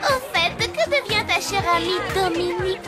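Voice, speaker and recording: Casting: catty young cartoon girl, extremely high, disdainful, over very loud music. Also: expressive, normal speed. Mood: scornful. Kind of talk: French cartoon dub acting.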